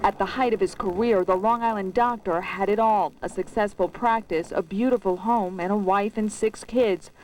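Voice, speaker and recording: A female correspondent narrates a scripted TV news package. Her pitch is average for a woman. The recording carries faint noise. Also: fairly even intonation, moderate pace, hardly any pauses, a little mellow, rough recording.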